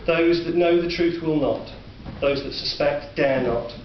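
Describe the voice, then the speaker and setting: A man with a Northern English accent narrating a dark story in a measured, sombre way. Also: fairly high pitch. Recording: distant, roomy, dull recording with faint hiss.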